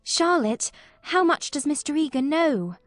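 A woman's voice: high voice, lively intonation, unhurried, dry recording, pitched above the performer's own voice. A woman doing an earnest young woman who pleads in a raised, urgent voice. A dry storybook reading with faint music underneath. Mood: emotional. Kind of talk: audiobook character voice.

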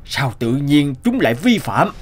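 A man's voice: a little high, sing-song, unhurried, a little hiss or hum. A man voicing an anxious middle-aged village official who talks nervously and stammers in a cartoon. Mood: worried.

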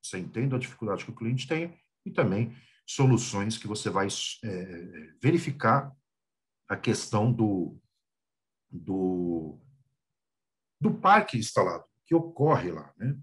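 A Brazilian man gives a business talk from a home office over a video call. His pitch moves a great deal, and he often repeats small words while he finds the next point. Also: unhurried. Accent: Brazilian Portuguese. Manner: lively, repeats small words, clears throat. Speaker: guest speaker.

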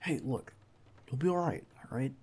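A man playing a protective, reassuring bodyguard in an intimate ASMR roleplay, speaking in a hushed, halting, gravelly voice close to the listener. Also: medium pitch, animated, a little hiss or hum, natural voice. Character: protective young male bodyguard, calm and reassuring.

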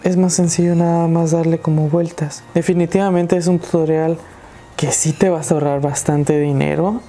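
A woman's voice: low-pitched, fairly even intonation, moderate pace, mellow, slight background noise. A young woman with a Mexican Spanish accent hosting a craft tutorial, chatting and encouraging viewers as she works. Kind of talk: casual DIY tutorial talk.

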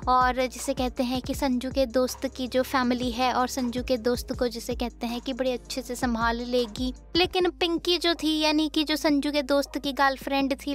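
Brief: woman gossip host, high bright voice, cheeky and teasing. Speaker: gossip show voice-over host. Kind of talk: teasing celebrity gossip chat.

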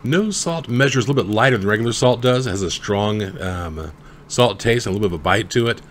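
A man's voice: lowish voice, fast, a little hiss or hum. A man hosting a home cooking show, explaining ingredients and measurements step by step. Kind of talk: recipe instruction voice-over.

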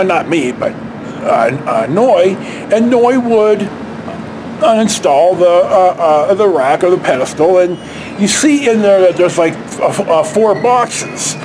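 High-pitched American man telling a rambling anecdote. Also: background noise. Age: middle-aged. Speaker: storyteller, vlogger. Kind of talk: casual anecdote to camera. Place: outdoors beside a stucco house wall. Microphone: handheld camera or phone at arm's length.

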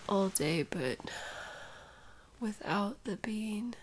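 A woman playing a sick, sleepy, sweet and whiny girlfriend in an intimate ASMR roleplay, breathy and often dropping into a whisper, with drowsy sighs. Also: medium pitch, lively intonation, a little hiss or hum. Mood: drowsy, wistful. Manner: drowsy, drawn-out, sighing, intimate.

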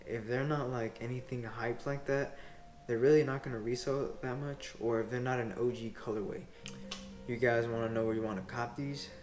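A young man, a sneaker resale vlogger, talking casually to camera with loose slang and lots of "like" and "bro", seated in a small room. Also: on the low side. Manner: laid-back slang, fillers like "like" and "bro". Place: small indoor room in front of window blinds.

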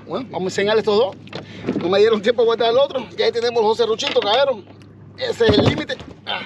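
A middle-aged man giving casual, excited fishing commentary, exclaiming and swearing casually as he talks to his viewers from a kayak. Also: high voice, quick pace. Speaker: fishing channel host. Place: outdoors in a kayak on open sea. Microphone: action camera mounted on the kayak. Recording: loud wind and water noise.

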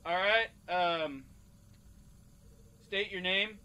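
Rambly casting director for a comedy game, high bright voice, takes his time. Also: drawn-out words, smooth, exaggerated voice. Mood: offhand, businesslike. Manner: rambling, stumbling, repeats himself. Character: casual, halting casting director running game auditions. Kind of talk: improvised comedic game acting. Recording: noisy cassette-tape recording.

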